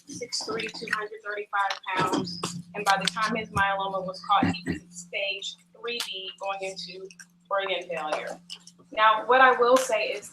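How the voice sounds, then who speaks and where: A woman speaks earnestly and from the heart to an event audience, leaning in toward a stand microphone. The room is echoey, and clear background noise runs under her voice. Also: mid-range voice. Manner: earnest, heartfelt, speaks softer in sad passages. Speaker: volunteer introducing a speaker. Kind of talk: public talk to an audience.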